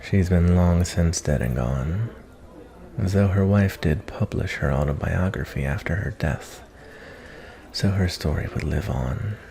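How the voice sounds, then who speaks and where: A man playing a gentle, reflective cowboy bounty hunter in a softly spoken fantasy ASMR roleplay, talking in a folksy, kindly, rambling way. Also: measured pace. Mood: storytelling, musing. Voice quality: low, gravelly.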